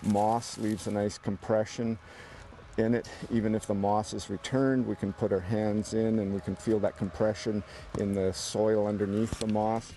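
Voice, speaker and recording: A man with a low, mellow voice gives a hands-on field demonstration. His intonation is quite flat, and there is faint outdoor noise around him. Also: normal speed. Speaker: search and rescue tracker. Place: outdoors in the woods.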